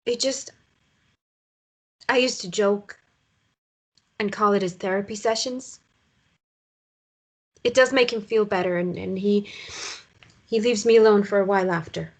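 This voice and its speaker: A woman acting a weary, evasive mother in a naturalistic drama table read, speaking haltingly and appeasingly. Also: medium pitch, fairly even intonation. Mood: defensive, subdued. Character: weary mother downplaying her ex's abuse, evasive.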